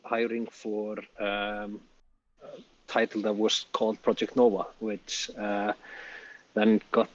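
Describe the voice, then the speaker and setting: A man answering interview questions as a guest, hesitant and full of fillers. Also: on the low side. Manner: flat, hesitant, lots of fillers. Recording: distant, dull call audio.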